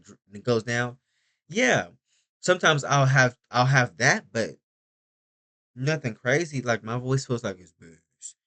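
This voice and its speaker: A man's voice: mid-range voice, fairly bright tone. A young man rambling through a casual video diary, chatty and often interrupting himself. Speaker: vlogger.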